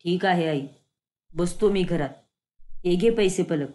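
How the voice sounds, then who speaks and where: A woman's voice: lowish voice, a little slow, warm tone, voice pushed down, natural voice. A woman voicing a harried young man caught between two women, pleading and exasperated.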